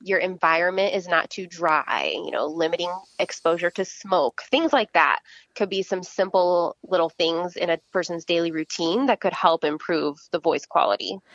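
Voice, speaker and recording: A woman's voice: lowish voice, normal speed, no echo, lo-fi sound. Explaining woman speech pathologist, dry sound. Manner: explanatory, steady, many fillers. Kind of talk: casual interview answers.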